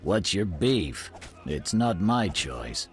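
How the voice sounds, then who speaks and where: An actor playing a gruff, brooding werewolf guardsman in a video game, curt and sardonic. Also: deep voice, sing-song, takes it slowly, exaggerated voice. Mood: gruff, defensive. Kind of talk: video game dialogue acting.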